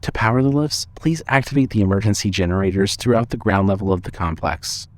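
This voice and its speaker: A man narrating a scripted story with measured, suspenseful storytelling. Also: lowish voice.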